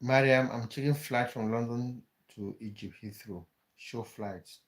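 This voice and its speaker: A man's voice: mid-range voice, fairly even intonation, unhurried, rough recording. A man from Cameroon, hosting a casual live stream, chatting with viewers and often repeating words as he thinks aloud. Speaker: livestream host.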